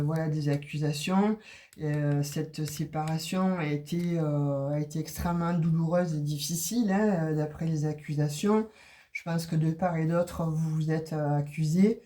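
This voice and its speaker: Woman card reader with a cold; warm low-key tone, halting delivery full of restarts. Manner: hesitant, many fillers and restarts. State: has a cold. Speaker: tarot and oracle card reader. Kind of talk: casual card reading for viewers.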